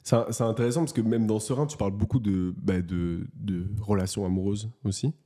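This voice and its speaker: A man's voice: lowish voice, a little fast, mellow. A man hosting a casual interview, talking with many hesitations and restarts. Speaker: host.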